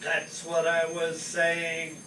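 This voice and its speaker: A man playing an alarmist wind-up robot ensign in a sci-fi parody radio play, with slow, drawn-out delivery. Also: on the high side, monotone. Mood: slow, weary. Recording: roomy distant mic with clear noise.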